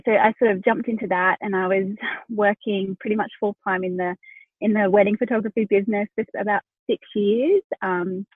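A woman's voice: medium pitch, husky, dry recording, narrow, tinny audio. A pregnant Australian woman in her thirties, a wedding photographer, chatting as a podcast guest with lots of fillers and restarts. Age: 30s. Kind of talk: casual interview chat.

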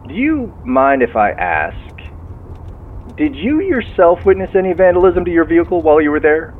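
A man's voice: on the high side, big pitch swings, normal speed. A man playing a genial sheriff's officer heard over the phone, folksy and courteous as he methodically probes, in audio drama dialogue. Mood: courteous, probing. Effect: phone line filter. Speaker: law enforcement officer. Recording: tinny phone line, car noise.

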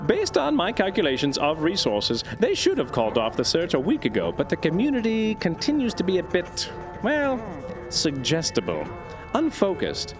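An actor playing an earnest, obsessive scientist dictating research notes in formal, grandiose language for an audio drama. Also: male voice, high voice, wildly swooping pitch, moderate pace, over music. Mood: brisk, detached. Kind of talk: audio drama monologue as journal narration.

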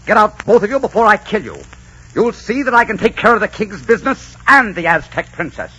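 An actor playing a commanding, ardent Spanish conquistador who also narrates, in a dramatic 1940s radio adventure style. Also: male voice, high voice, very animated, a little fast, sharp tone, husky, exaggerated voice. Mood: commanding anger. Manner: dramatic, authoritative. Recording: dull 1940s radio recording, music behind.

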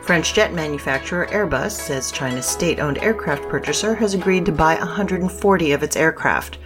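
A woman reads the news from a script in a low register. She is formal and precise in her phrasing. Faint music plays underneath. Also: brisk. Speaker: news reader.

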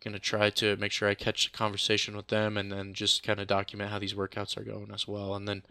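A man hosting a sports podcast, wrapping up the episode in a steady, informal way. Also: on the low side, fast, clean tone, no echo, studio quality. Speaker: podcast host. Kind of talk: solo show wrap-up.

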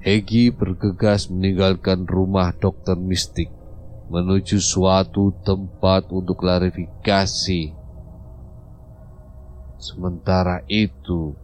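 A man narrating an audio drama in a steady storytelling manner. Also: low-pitched.

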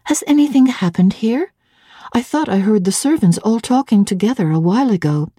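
A woman's voice: mid-range voice, animated, normal speed, mellow, breathy edge, no room sound, studio quality, voice pushed up. A woman voicing a young governess in an audiobook, earnest and intent, questioning carefully. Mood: probing.

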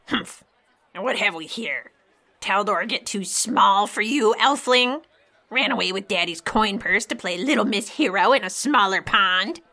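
A woman playing a sly old beggar widow in a fantasy audio drama, raspy and creaky, wheedling and sarcastic in a theatrical, folksy way. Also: medium pitch, animated, measured pace, bright, forceful tone, heavily acted. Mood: scornful, needling. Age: older. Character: sly old beggar widow, mock-pitiful, salty-tongued.

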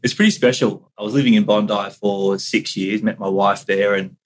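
A low, husky-voiced Australian man talking as a podcast guest. He speaks in an easygoing, unhurried way and drops in casual slang. He sounds relaxed and open. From New South Wales, Australia. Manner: laid-back, easygoing, uses casual slang. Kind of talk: casual interview chat.

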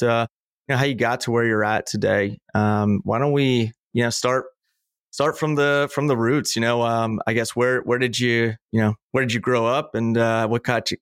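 Smooth-voiced host, steady and unhurried. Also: male voice, medium pitch, dry recording, studio quality. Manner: hesitant, full of fillers. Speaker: podcast host. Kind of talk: conversational interview.

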